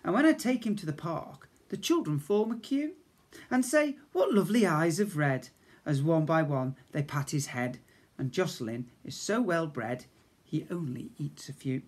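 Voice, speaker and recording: A man's voice: a little high, animated, normal speed. A British man in his fifties, a schoolteacher, reading comic rhymes to young children expressively, with chatty asides. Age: 50s. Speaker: infant school teacher. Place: small indoor school office. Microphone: device camera at arm's length.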